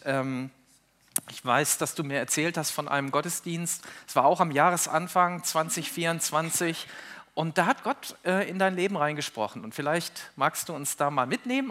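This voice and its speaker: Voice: medium pitch, fairly bright tone. A pastor interviewing a guest on a church stage, asking encouraging questions.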